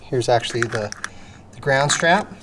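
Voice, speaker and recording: A man's voice: medium pitch, a little slow, fairly bright tone, rough recording. A man hosting a casual how-to, explaining an installation step by step in a plain-spoken way, as he demonstrates. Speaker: host of a vehicle installation how-to. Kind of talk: casual hands-on tutorial narration. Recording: clear background ambient noise. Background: ambient noise.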